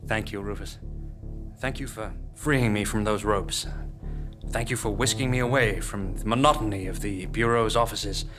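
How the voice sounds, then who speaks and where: An actor playing a devoted, ominous cult leader, speaking ceremoniously in a comic radio play. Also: male voice, lowish voice, normal speed, over music, lightly acted. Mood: grateful. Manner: ceremonious, theatrical.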